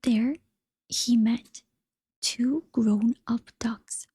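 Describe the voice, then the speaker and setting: A woman softly whispering a children's storybook as narrator. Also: on the high side, fairly even intonation, extremely slow, no room sound. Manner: soft, breathy whispering.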